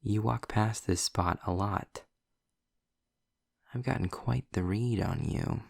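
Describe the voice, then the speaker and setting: A man playing a sarcastic, articulate demon in an audio roleplay, with a gravelly, breathy voice and wry, formal delivery. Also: low-pitched, no room sound, studio quality, exaggerated voice. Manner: wry, formal wording, deliberate pauses. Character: sarcastic, snarky male demon, articulate and testy. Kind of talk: scripted audio roleplay monologue addressed to the listener.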